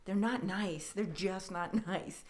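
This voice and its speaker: A woman's voice: mid-range voice, slow pace, a little mellow. A woman speaking in a reflective way in a conversational podcast discussion, repeating phrases for emphasis. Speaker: podcast guest.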